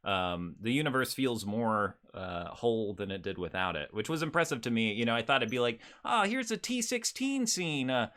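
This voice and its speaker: A man with a medium-pitched voice co-hosts a podcast. He talks casually and conversationally, with a jokey streak. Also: very animated, moderate pace, few pauses, fairly bright tone. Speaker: podcast co-host.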